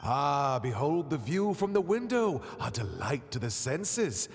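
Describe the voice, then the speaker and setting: A dad playing grand emperor, pompous and florid, big echoey reverb, game cutscene. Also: male voice, mid-range voice, big pitch swings, unhurried, vocal fry, soft background music. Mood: grandly playful. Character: playful father grandly posing as a theatrical emperor. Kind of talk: video game voice acting. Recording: long echoing hall reverb.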